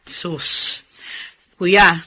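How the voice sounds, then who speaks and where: A woman's voice: very slow, sharp tone. A woman acting a bitter, greedy grown daughter in a Filipino radio drama, with a scornful, pointed delivery. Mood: sulky, scornful.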